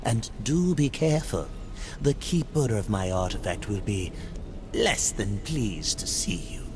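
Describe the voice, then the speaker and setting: An actor voicing a sly, scheming demon merchant in a video game, with an oily, theatrical, insinuating delivery. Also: male voice, lowish voice, lively intonation, background noise. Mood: sly bargaining. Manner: slow, drawn-out, insinuating. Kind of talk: video game cutscene acting.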